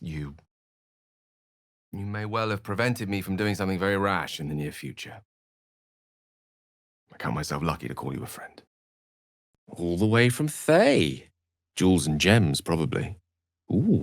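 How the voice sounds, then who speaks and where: A man's voice: sits low, sing-song, measured pace, long pauses, no echo, studio quality, exaggerated voice. An actor playing an erudite, witty and self-assured wizard in a video game, eloquent and theatrical in his wordy delivery. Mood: earnest. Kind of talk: video game character acting.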